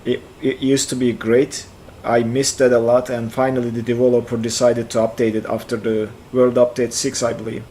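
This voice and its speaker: A man in his forties with a Turkish accent, a native Turkish speaker, talking in a relaxed live-stream way. He reads out chat and answers it, thinking aloud as he goes. His voice sits in a middling pitch. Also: moderate pace, a little hiss or hum. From central Turkey. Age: 40s. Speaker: flight sim streamer.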